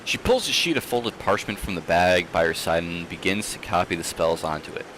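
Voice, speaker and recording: A man reading a story aloud in a theatrical, expressive manner, with casual asides, like a streamer hosting for an audience. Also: sits low, brisk, background noise.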